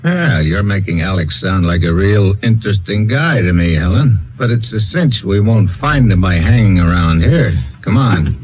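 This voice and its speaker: A wry hard-boiled agent. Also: male voice, sits low, animated, normal speed, hardly any pauses. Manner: wry, clipped tough-guy delivery. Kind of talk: 1950s radio drama acting. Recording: dull old radio broadcast.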